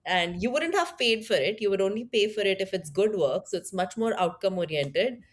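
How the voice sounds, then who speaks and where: A woman's voice: mid-range voice, expressive, moderate pace. A woman from India with an Indian accent, speaking thoughtfully as an interview guest, with fillers and restarts. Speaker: guest, tech product leader. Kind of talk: conversational interview.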